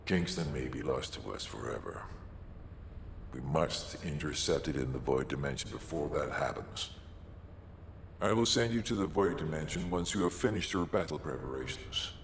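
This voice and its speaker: Very deep, solemn male mentor. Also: creaky, echoey room, a little hiss or hum, full character voice. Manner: measured, solemn, formal. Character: grave, formal male mission overseer, solemn mentor. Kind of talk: scripted game cutscene acting.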